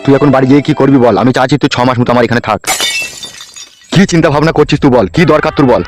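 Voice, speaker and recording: গ্রামবাংলার টানে একজন পুরুষ কার্টুনে এক বদরাগী, মুখখারাপ, দাদাগিরি-করা নেতার গলা দিচ্ছে।. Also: male voice, medium pitch, rapid-fire, music in the background, natural voice. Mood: coaxing. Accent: rural West Bengali. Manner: threatening, domineering. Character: bullying, hot-tempered politician boss, manipulative and crude. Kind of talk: amateur comedy cartoon dub.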